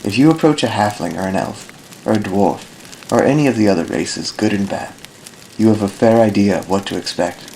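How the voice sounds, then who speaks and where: A low-pitched, thoughtful elf ranger, acted by a man for a fantasy audio drama. He speaks formally and solemnly, like an idealist recounting a long life. The amateur recording has noticeable noise behind the voice. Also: moderate pace, creaky, darkish tone, low-quality recording. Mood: solemn, reflective. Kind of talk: fan audio drama narration, literary monologue.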